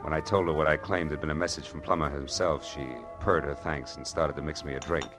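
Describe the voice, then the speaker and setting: A deep, low-pitched private detective from classic 1940s radio drama. He talks in clipped slang with a sardonic, wisecracking manner. Music plays clearly beneath the old broadcast. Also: fairly even intonation, fairly quick. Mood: wry narration. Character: hard-boiled, wisecracking male private detective. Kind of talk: 1940s radio drama acting and first-person narration.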